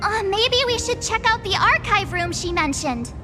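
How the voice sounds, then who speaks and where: A woman's voice: very high-pitched, animated, moderate pace, big-room sound, music in the background. A woman playing a cute, forgetful, easily flustered schoolgirl in an anime-style game, hesitant and exclaiming. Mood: puzzled.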